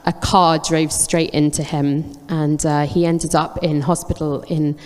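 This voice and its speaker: Low-voiced woman giving a church testimony. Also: little pitch movement, unhurried, a little mellow. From England. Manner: candid, reflective, with frequent ums. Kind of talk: personal faith testimony on stage. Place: church hall. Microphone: handheld mic, cutting in and out. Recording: echoey church hall with long reverb.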